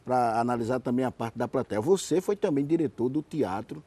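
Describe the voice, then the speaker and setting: Guy doing an interview, relaxed husky voice, kinda roundabout when he asks things. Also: mid-range voice, a little fast, no echo. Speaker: interview host. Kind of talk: conversational interview questioning. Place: outdoor hotel terrace.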